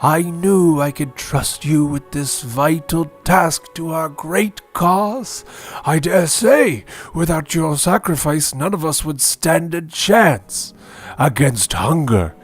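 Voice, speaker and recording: Man as a high-voiced doddering old wizard. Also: animated, slow, slightly rough, faint music behind, heavily acted. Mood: grandly earnest. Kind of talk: parody audio story.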